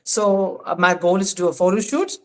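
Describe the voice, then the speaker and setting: A South African man in his thirties, a podcast guest in casual, animated chat who laughs often. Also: high voice, brisk. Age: 30s.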